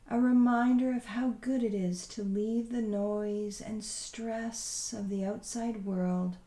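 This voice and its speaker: A woman leading a guided visualization meditation in a soothing, unhurried, gently instructing way. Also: medium pitch, reverberant.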